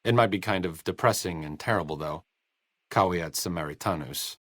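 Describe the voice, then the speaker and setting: An actor playing a sarcastic, idealistic wasteland doctor in a video game, with an American accent and a dry, articulate manner. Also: male voice, low-pitched, moderate pace, a bit bright, dry recording. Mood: dry, explanatory. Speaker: video game companion character. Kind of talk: video game voice acting, conversational dialogue.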